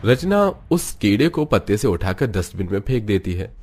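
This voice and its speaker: Male storyteller for cartoon narration, low register, music underneath. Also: very animated, normal speed, darkish tone.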